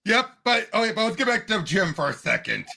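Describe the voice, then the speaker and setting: A man chats excitedly about movies as a podcast co-host. His voice sits high and is very bright and piercing, with a gravelly fry running through it. He is emphatic and quick to cut in. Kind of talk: casual excited movie discussion.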